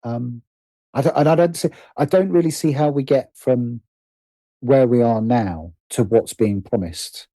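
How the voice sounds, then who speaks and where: Man with very mellow voice, halting delivery. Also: mid-range voice, no room sound, poor audio. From United Kingdom. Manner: hesitant, repeats and rephrases phrases as he thinks. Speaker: guest, writer and producer. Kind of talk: casual interview conversation.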